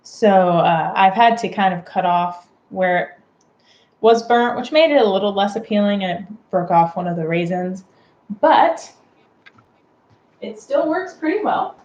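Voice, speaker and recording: Casting: woman, home baking host, slow and chatty explainer, recorded at a distance from the mic. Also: mid-range voice, lively intonation, darkish tone, muffled recording. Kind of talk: casual unscripted how-to demonstration. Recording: roomy, distant mic with clear background noise.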